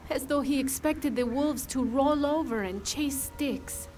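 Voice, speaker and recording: A worried village woman from a video game, looking after children. Her voice is a little high and she talks in a chatty, storytelling way over faint ambient noise. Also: measured pace. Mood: exasperated, amused. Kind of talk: video game character dialogue.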